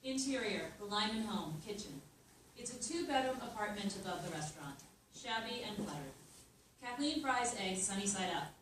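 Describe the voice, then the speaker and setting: A woman reading stage directions aloud at a script table read, in a steady, matter-of-fact way. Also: mid-range voice, normal speed. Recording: echoey room, far mic, noise.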